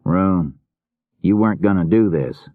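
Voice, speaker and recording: A man's voice: low-pitched, measured pace, no echo, narrow, tinny audio. A man voicing a patient, easygoing middle-aged police detective who explains things matter-of-factly in an audiobook. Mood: wary. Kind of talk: audiobook character dialogue.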